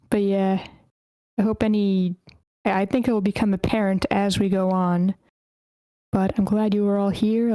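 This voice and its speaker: A woman's voice: medium pitch, warm tone, dry recording, low-quality recording. A woman hosting an online table read, casually announcing and organizing things. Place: online voice meeting.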